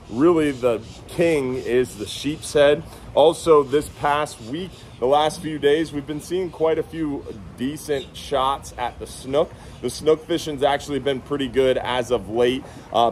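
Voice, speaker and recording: A man's voice: mid-range voice, a little mellow, a little hiss or hum. Upbeat man filming himself at the beach. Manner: upbeat, talks straight to camera, repeats words for emphasis. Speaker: marina fishing report host. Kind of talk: casual spoken fishing report. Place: outdoors on a sunny beach by a bridge. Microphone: phone camera at arm's length.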